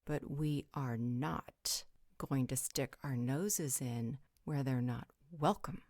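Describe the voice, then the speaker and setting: A worldly, supernatural female guardian voiced by a woman for an audio roleplay. Her voice sits low and is recorded close and dry, and she talks with blunt, teasing frankness. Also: takes it slowly, a little mellow, dry recording, full character voice. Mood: supportive, firm. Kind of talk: scripted roleplay audio drama.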